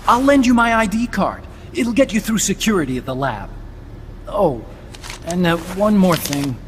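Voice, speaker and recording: A man's voice: high voice, lively intonation, normal speed, slight background noise. An actor playing an earnest, nerdy male scientist in a video game, eager and a little awkward. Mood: hesitant, sheepish. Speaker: video game supporting character. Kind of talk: dramatic video game acting.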